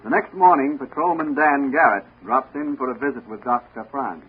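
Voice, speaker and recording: A man's voice: mid-range voice, moderate pace, slightly rough. A man playing a dramatic, suspenseful announcer narrating a 1940s radio serial. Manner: dramatic, declamatory. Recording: tinny 1940s radio recording with music.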